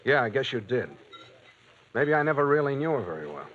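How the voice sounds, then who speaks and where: An actor playing a big, brooding, evasive artist with a gruff, dismissive manner, in 1940s radio style. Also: male voice, mid-range voice, big pitch swings, a little fast, natural voice. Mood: sullen, evasive. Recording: dull, faintly noisy vintage radio recording.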